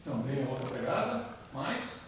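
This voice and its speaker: A middle-aged man in his fifties giving an animated, interactive lecture and questioning his audience, recorded from a distant camera in a large brick-walled lounge. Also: medium pitch, normal speed. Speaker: guest speaker. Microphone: far camera, no mic. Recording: echoey room from far off, noisy.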